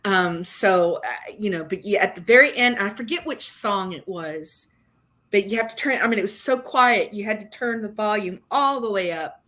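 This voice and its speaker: Bright-voiced chatty middle-aged woman. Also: medium pitch, normal speed. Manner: chatty, loses her words mid-sentence. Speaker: livestream co-host. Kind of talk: casual chat.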